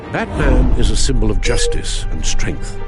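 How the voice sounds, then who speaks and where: An actor playing an earnest, fatherly older butler in a video game, speaking in a measured, formal way. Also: mid-range voice, very animated, close to an everyday voice. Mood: measured, advising. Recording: music behind the voice.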